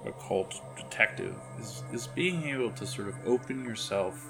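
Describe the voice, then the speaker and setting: A man improvising narration as game master of a tabletop horror game, halting as he searches for words. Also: mid-range voice, lively intonation, over music.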